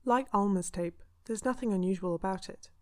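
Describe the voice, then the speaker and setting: A woman playing the serious host of a true-crime mystery show, giving measured, ominous scripted narration. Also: medium pitch, a little mellow, close to an everyday voice. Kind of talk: scripted narration in a radio drama. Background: music under the voice.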